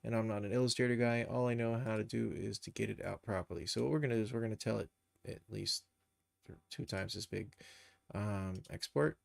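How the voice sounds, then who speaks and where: A man with a lowish, gravelly voice hosts a casual screen-share tutorial. He thinks aloud as he works, informal and unscripted, in a very dry-sounding recording. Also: fairly quick, no echo. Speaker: streamer, game developer and animator.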